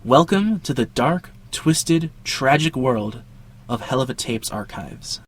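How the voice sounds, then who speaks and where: A man's voice: mid-range voice, expressive, takes it slowly, husky. A young man hosting a video essay with casual scripted narration and dramatic emphasis. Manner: dramatic emphasis with jokey asides. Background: background music.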